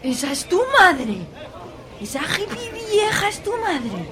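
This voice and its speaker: A woman's voice: very high voice, sing-song, takes it slowly, crowd noise, heavily acted. A woman with an accent from Spain playing a snobbish young daughter in an amateur radio soap, incredulous and exclaiming. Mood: shocked, indignant.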